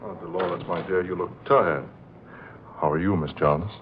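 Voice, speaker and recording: An actor playing a tender, mild-mannered young fiancé, polite and soothing, in 1940s radio drama style. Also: male voice, deep voice, normal speed, natural voice. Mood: tender, subdued. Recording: tinny, hissy vintage radio recording.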